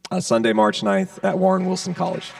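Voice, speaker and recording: A man's voice: high voice, little pitch movement, fairly quick, creaky, a little mellow, dry recording. A man making a casual community announcement at a church service, with lots of "uh" and "um". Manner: casual, filler-heavy delivery. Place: church sanctuary. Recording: voice picked up from a distance, dry.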